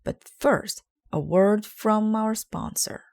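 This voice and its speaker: Woman voicing an eager boy showman, loud and high. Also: slow, gravelly. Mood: showy. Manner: shouting, stretched-out showman delivery. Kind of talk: children's storybook read aloud.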